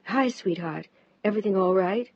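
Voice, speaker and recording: A woman voicing a devoted wife who talks gently but firmly to her husband. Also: mid-range voice, moderate pace, breathy edge, no room sound. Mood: tender. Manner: gentle but firm, rising when pushed. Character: devoted, pleading wife of a surgeon. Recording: dull, dry lo-fi recording.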